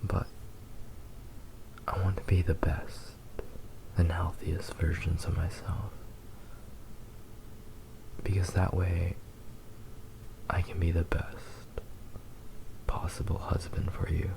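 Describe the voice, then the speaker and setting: A man playing a possessive, devoted young fox spirit lover in a breathy, soothing whispered ASMR roleplay. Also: low-pitched, level tone, full character voice, low-quality recording. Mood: devoted, adoring. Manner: slow, tender, soothing, intimate.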